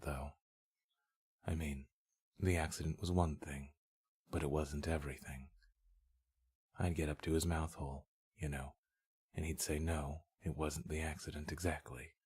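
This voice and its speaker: A man's voice: rumbling low, slightly rough. A man voicing an earnest, brooding husband telling a sad tale in a conversational, emphatic audiobook monologue.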